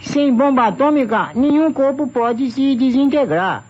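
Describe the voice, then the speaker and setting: A man's voice: extremely high pitch, expressive, a little mellow, muffled recording, full character voice. A man playing an eager, deferential Japanese police assistant in broken phrasing, a comic sidekick in an old radio drama, on a thin recording. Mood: deferential, amused. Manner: halting, broken phrasing, obsequious.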